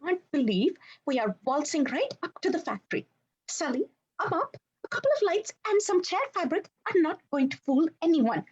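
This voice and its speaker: A woman's voice: very high-pitched, animated, moderate pace, a little mellow, highs cut off. A woman voicing a panicky, sarcastic little male monster sidekick in a scripted podcast, complaining in long exasperated bursts. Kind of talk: scripted podcast character reading.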